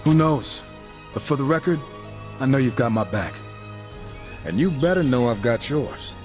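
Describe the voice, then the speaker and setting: A man's voice: medium pitch, lively intonation, normal speed, a little mellow, lightly acted. A man playing a cynical, wisecracking horseman and reformed killer in a video game, talking in a sardonic, informal way. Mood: uneasy, probing. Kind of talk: game cutscene dialogue. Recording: music behind the voice.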